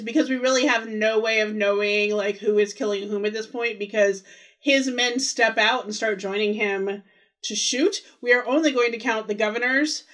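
A woman's voice: a little high, fairly bright tone. Chatty woman podcast co-host. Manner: chatty, walks through details like a tour guide. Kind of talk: casual recap chat about a TV episode.

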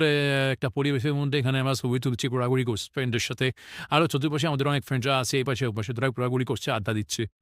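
A young male college student from Bangladesh, talking earnestly to camera outdoors and filling pauses with hesitations. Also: medium pitch, dry recording, studio quality. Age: young adult. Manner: earnest, talkative, hesitant with fillers. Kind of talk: casual talk to camera. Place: outdoors in a park.

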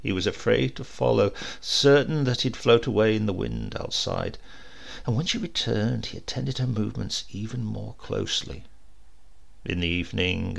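A man reading a literary supernatural tale aloud in a measured, expressive storytelling manner. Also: low voice, creaky, husky. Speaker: story reader.

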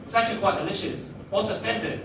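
A young man doing a stand-up comedy set in a small restaurant bar, delivering deadpan one-liners and laughing at his own lines. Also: high voice, fast, noisy background. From Australia. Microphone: handheld mic, filmed from far back. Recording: echoey room from far off, loud crowd.

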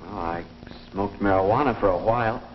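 An actor playing a hesitant, ashamed young male addict in a 1950s educational film, halting and reluctant. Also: fairly low pitch, lightly acted. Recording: muffled, echoey, hissy old film soundtrack.

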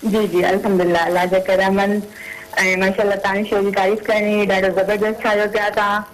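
A woman's voice: mid-range voice, little pitch movement, fast talker, poor audio. Sindhi-accented woman calling in to a show. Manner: polite and chatty, asks questions. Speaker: caller. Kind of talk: phone-in call.

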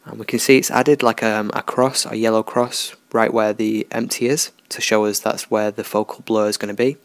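Gravelly man teaching software. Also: on the low side, moderate pace, no room sound. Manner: relaxed, unscripted, thinking aloud with fillers. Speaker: tutorial host. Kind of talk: screen-recorded software tutorial.

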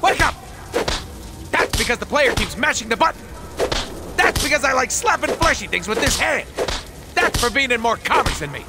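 A man's voice: very high-pitched, big pitch swings, normal speed, pauses often, loud music behind the voice, heavily acted. A man playing a manic, childish, cocky mercenary antihero in a video game, with a hyperactive, mocking, theatrical delivery. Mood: mock angry.

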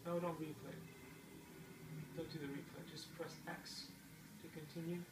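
A middle-aged parent talks casually and patiently, coaching a child through a game with plenty of encouragement. The voice is medium in pitch and comes from far off in an echoey, noisy room. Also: level tone, normal speed. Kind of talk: casual chat while watching gameplay. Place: indoor room at home with a TV. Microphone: distant camera mic.

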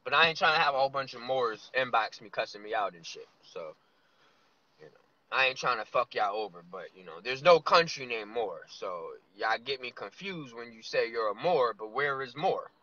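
A man in his thirties vlogging from inside a car, musing loosely with drawn-out asides. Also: mid-range voice, lively intonation, sharp tone. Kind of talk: casual rambling monologue. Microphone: phone camera on dashboard. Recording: lo-fi phone audio.

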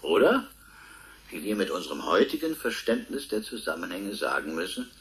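An actor playing a scientist in a radio drama, lecturing in measured phrases with emphatic pauses. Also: male voice, low-pitched, sing-song, fairly bright tone, full character voice. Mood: grand, emphatic. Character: male scientist expounding time travel to an audience.

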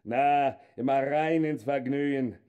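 An actor playing a vain, crude operetta star in a radio play, with a coarse, jovial, theatrical manner. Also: male voice, medium pitch, fairly even intonation, slow, clear, resonant tone, phone-quality sound, lightly acted. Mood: boisterous, crude. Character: vain, crude operetta star backstage, boisterous, hungry for praise. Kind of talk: German radio play acting.

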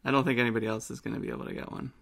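Guy player in a tabletop rpg, lots of vocal fry, casually saying what his character does. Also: medium pitch, little pitch movement, racing, fairly bright tone.

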